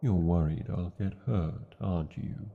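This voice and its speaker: A man's voice: low voice, measured pace, vocal fry, phone-quality sound, full character voice. A man with a British accent voicing a large, devoted, proud male dragon in a fantasy ASMR romance, speaking tenderly with theatrical, formal wording. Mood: concerned, proud.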